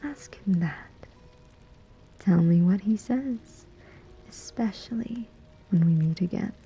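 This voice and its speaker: A woman's voice: medium pitch, animated, warm tone, full character voice, poor audio. A woman playing a sly, menacing female demon who collects souls, in a teasing whispered ASMR roleplay. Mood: bargaining, challenging. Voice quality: breathy whisper. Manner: slow, deliberate, teasing.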